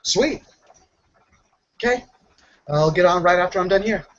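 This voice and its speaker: A man's voice: high-pitched, a little slow. A man giving casual in-character replies as a player in a tabletop roleplaying game. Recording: lo-fi with faint noise.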